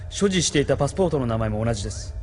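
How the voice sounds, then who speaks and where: Man acting a rapid-fire, dutiful junior soldier. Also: mid-range voice, expressive, a little mellow, slight background noise, lightly acted. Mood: dutiful, brisk. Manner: clipped, deferential reporting. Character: dutiful, brisk male military subordinate. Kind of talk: Japanese audio drama acting.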